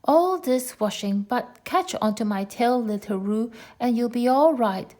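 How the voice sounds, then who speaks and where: A woman voicing a gloomy, self-pitying old male donkey who grumbles slowly. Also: fairly high pitch, animated, darkish tone. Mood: gloomy grumbling. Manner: slow, grumbling, sorrowful.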